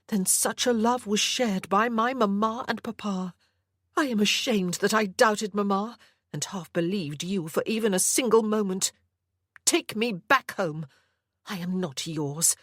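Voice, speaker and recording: A woman's voice: medium pitch, fairly bright tone, no room sound, studio quality, voice pushed up. A woman reading the part of a young mother standing up to her captor, firm and earnest. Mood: indignant. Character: young mother, defiant and composed.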